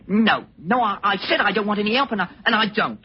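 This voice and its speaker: A husky, muffled voice from an old radio play: a nervous young Englishman. He stammers and hesitates, anguished and guilt-ridden, with a British accent. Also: high-pitched, lively intonation, brisk. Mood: uneasy. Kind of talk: 1940s radio drama acting.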